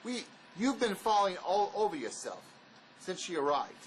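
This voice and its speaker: An actor playing a wary, blunt escaped convict in a drama, speaking in an argumentative, insistent manner. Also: male voice, high voice, big pitch swings, normal speed, a little hiss or hum, rough recording. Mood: exasperated, arguing. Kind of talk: dramatic dialogue reading.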